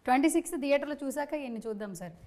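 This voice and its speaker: A woman interviewer. She talks fast and chattily, dropping English words into a casual promotional conversation. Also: sits high, darkish tone. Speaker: interviewer, host. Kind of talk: casual film promotion chat.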